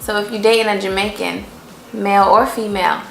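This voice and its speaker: A woman's voice: mid-range voice, fairly even intonation, brisk, creaky, reverberant, soft background music, rough recording. A woman co-hosting a casual couple vlog who asks a lot of curious, playful questions. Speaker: co-host asking about Jamaican phrases.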